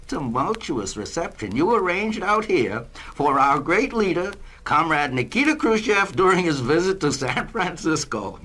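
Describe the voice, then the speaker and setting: An actor playing a zealous Communist district official, speaking in a grandiose, oratorical 1960s dramatized style. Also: male voice, on the high side, fairly bright tone, breathy edge, heavily acted. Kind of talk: 1960s dramatized political speech. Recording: noisy vintage spoken-word LP.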